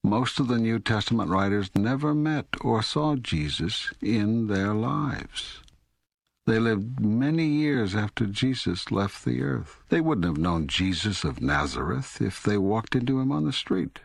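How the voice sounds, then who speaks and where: God as an old, patient and wry authority in a dramatized audiobook. The voice is very deep and rough, with highly animated pitch. The delivery is deliberate and oratorical, in a dry, close sound. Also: male voice, no room sound, heavily acted. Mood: wry, correcting.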